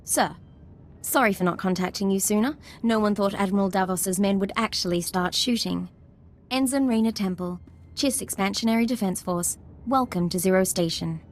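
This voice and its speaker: Young female ensign for sci-fi game actress: brisk, composed, dryly wry, mid pitch, light background ambience. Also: slight background noise, lightly acted. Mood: polite, dryly wry. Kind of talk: video game voice acting.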